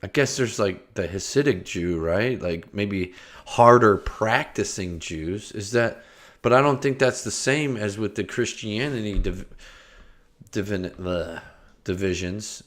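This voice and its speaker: A man talks over a stream in a slow, unhurried drawl, pitched on the low side. He jokes, hesitates and restarts his sentences, and sometimes breaks into scatted filler syllables. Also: fairly bright tone. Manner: joking, hesitant, trails off and restarts, scats nonsense syllables. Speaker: streamer reacting to a video. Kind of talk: rambling casual commentary.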